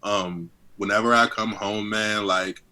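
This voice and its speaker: Deep-voiced young man from D.C. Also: steady tone, unhurried, sharp tone. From Southeast Washington, D.C. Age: 20s. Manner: laid-back, heavy on fillers, chuckles often. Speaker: guest rapper. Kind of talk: casual interview chat.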